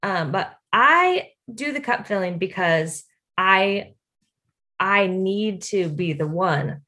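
A woman co-hosts a faith-based podcast in open, confessional talk. Her voice is bright and clear, and it swoops up and down with a lot of animation. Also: medium pitch, slow pace. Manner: animated, confessional, bursts into playful quoted voices. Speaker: podcast co-host.

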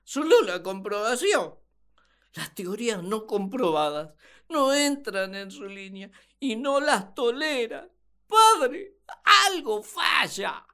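A woman's voice: on the high side, very animated, takes it slowly, a bit bright, heavily acted. A woman playing Adam as an exasperated, naive and plaintive first man in a comic radio drama.

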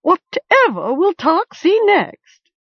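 Woman voicing a mocking, screeching raven, very high, storybook creature, dull lo-fi sound. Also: wildly swooping pitch, slow, dry recording, full character voice. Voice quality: screechy, cawing. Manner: haughty, mocking. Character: cantankerous screeching male raven.

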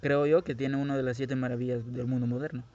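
Casting: young Guatemalan man, loose rambling storyteller, mid-pitched, dull home-mic sound. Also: little pitch movement, fast talker, a little mellow. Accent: Guatemalan Spanish. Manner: rambling, hesitant, many restarts and fillers. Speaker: podcast host. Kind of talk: casual personal storytelling.

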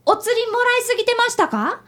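A woman's voice: very high voice, expressive, fairly quick, bright, forceful tone, full character voice. A woman voicing a polite, earnest fifth-grade boy detective apprentice in a radio drama. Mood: earnest, curious. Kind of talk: radio drama acting with first-person narration.

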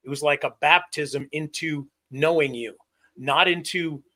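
A bright-toned man from Vermont with a slightly raised pitch, speaking as a co-host. He reflects earnestly on the meaning of a rite of passage and repeats words as he searches for them. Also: steady tone, unhurried. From Vermont, USA. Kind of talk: reflective talk-show commentary.

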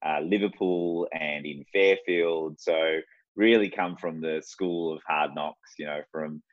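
Very deep-voiced Australian man. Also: steady tone, no room sound. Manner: reflective, unhurried storytelling. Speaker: guest. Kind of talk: casual interview talk. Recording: dull lo-fi sound.

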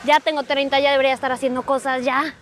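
A thirty-year-old Mexican woman speaking reflectively as an interview guest, with pauses and tag questions. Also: high-pitched, little pitch movement, fairly bright tone, slight background noise. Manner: thoughtful, hesitant, many fillers and rhetorical "¿no?". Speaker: guest, psychology student. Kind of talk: reflective conversation. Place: outdoors, walking in a cemetery.

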